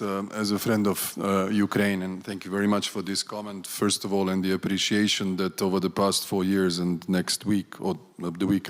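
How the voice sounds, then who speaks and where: A man speaking as a conference panelist, talking deliberately and often restarting his phrases. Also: low-pitched. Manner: deliberate, with frequent restarts and fillers. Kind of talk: panel discussion remarks. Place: large conference hall. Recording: long reverb of a large hall.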